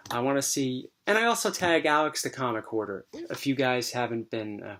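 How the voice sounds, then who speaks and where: A man getting over a cold, with a dry, slightly raspy voice, chatting casually about his comic book collection. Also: mid-range voice, normal speed. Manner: relaxed, rambling, many fillers. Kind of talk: casual show-and-tell chat.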